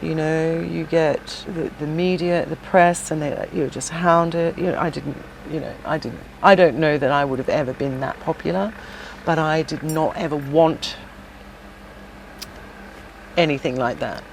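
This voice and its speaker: A middle-aged woman with a low voice talks through her past in a relaxed interview. She is chatty, circles back with fillers and restarts, and has a faint hum behind her. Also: unhurried, gravelly, rough recording. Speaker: podcast guest, shop owner and former singer. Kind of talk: casual interview chat.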